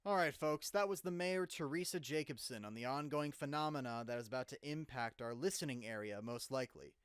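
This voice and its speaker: A man's voice: medium pitch, brisk, clean tone, dry recording, clean studio sound, natural voice. A man playing an incredulous, urgent radio news host in a dramatized live broadcast. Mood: serious, briefing.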